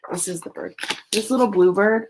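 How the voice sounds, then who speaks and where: A woman reading a picture book aloud to children in an expressive storytelling way, with casual, chatty asides. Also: mid-range voice, fairly quick, a little hiss or hum.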